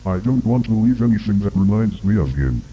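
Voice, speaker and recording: A man's voice: fairly low pitch, lively intonation, a little fast, mellow, dull audio, a little hiss or hum, lightly acted. A man playing an evasive, guarded agency commander in a scripted horror interview, speaking formally. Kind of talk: scripted analog horror dialogue.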